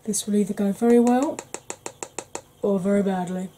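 Soft-spoken woman, Welsh-tinged northern accent. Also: medium pitch, fairly even intonation, a little hiss or hum. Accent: Welsh-tinged northern English. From Wales and Yorkshire. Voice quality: soft-spoken, slow, wry. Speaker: makeup vlogger. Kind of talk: soft-spoken makeup tutorial chat.

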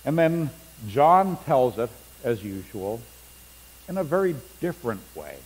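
A man's voice: mid-range voice, sing-song, unhurried, darkish tone, husky. A middle-aged male pastor preaching a sermon in a church sanctuary, reading scripture aloud with rising emphasis. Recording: long church reverb, faint hum.

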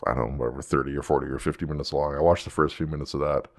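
A man in a casual fan discussion as a podcast host, halting and circling back as he explains. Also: extremely low pitch, steady tone.

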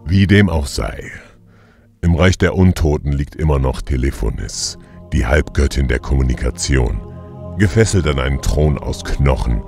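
A man's voice: very deep voice, expressive, normal speed, vocal fry, darkish tone, faint music behind, full character voice. A man playing a sardonic, menacing god of the underworld in an audio drama, with dry sarcasm. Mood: dry, sarcastic. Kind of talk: German audio drama acting.

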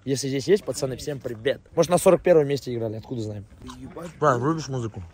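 A man's voice: medium pitch, fast. A young man rambling and swearing as he chats with friends outdoors at night, holding the camera at arm's length. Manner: rambling, swearing, loud bursts while reminiscing. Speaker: streamer. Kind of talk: casual chat among friends.

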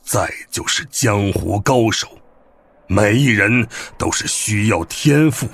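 Husky, slow old martial master. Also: male voice, fairly low pitch, full character voice. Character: gruff old male martial master, mocking, secretly caring. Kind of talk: Chinese wuxia audio drama acting.

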